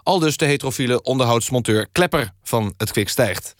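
Casting: man as straight-faced news host for radio satire; average pitch, earnest and halting delivery. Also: expressive, dry recording, clean studio sound, natural voice. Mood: deadpan. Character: earnest, incredulous male radio news interviewer. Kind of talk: deadpan mock news presenting and interviewing.

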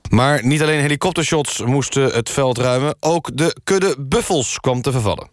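A man's voice: medium pitch, normal speed, dry recording, clean studio sound, close to an everyday voice. A man playing an earnest presenter of a mock news radio magazine, reading scripted introductions. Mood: earnest, informative.

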